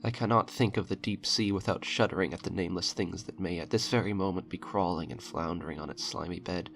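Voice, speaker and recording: Deep, gravelly man reading a horror tale. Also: a bit bright. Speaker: narrator reading a horror short story. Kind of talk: dramatic audiobook-style narration. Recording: music under the voice. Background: eerie background music.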